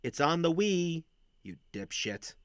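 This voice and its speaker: A man's voice: a little high, big pitch swings, takes it slowly, fairly bright tone, smooth, no echo, studio quality, exaggerated voice. A man playing an awkward, chatty young werewolf in a casual audio roleplay, rambling and blurting like a cheeky little brother.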